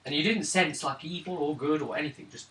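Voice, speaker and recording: A man acting as game master, improvising the narration in a halting, casual way, with swearing and throat clearing. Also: on the high side, a bit bright. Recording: roomy, distant mic, faint noise.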